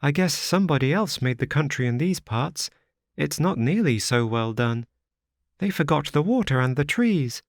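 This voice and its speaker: A chirpy little pioneer girl, innocent and chatty, her voice pitched high and light, as a male narrator puts her on. The recording is dry and close for an audiobook. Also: expressive, normal speed, no echo. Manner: high, bright childish voice.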